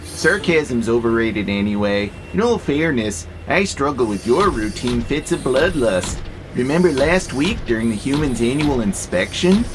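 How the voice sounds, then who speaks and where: A man plays a wry, gentle worker robot in an audio drama. He is calmly persuasive with a playful sarcasm, his mid-range male voice moving freely up and down over faint background noise. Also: medium pitch, measured pace, lightly acted. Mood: placid. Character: gentle, wry, philosophical male freight-hauling robot, peaceable.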